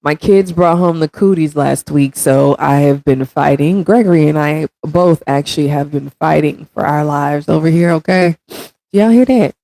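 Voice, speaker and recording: A woman in her thirties hosting a casual livestream, congested and with a raspy throat, chatting directly with viewers and reading their comments. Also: low voice, moderate pace, warm tone, dry recording, poor audio. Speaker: stream host.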